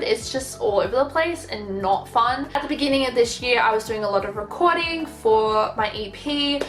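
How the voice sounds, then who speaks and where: A woman's voice: on the high side, a bit bright, reverberant. A young woman vlogger talking frankly to camera in a chatty, casual way, full of fillers. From Australia.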